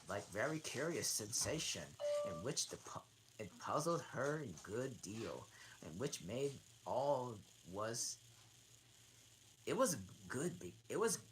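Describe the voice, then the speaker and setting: Low-voiced woman, slow halting storybook reader. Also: a bit bright, poor audio. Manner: halting, stumbling and re-reading words. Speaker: channel host, reader. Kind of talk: amateur storybook read-aloud. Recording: noticeable background noise.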